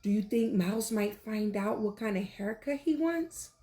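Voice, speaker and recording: A woman reading a picture book aloud like a teacher, with animated, deliberate delivery and questions for young listeners. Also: mid-range voice. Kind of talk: expressive children's read-aloud with questions to listeners.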